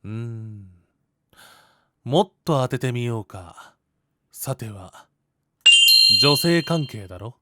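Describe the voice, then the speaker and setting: A man playing a kind, perceptive high school boy who gently teases and reassures, in an anime-style voice drama. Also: animated, slow, long pauses, heavy background noise. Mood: gently teasing.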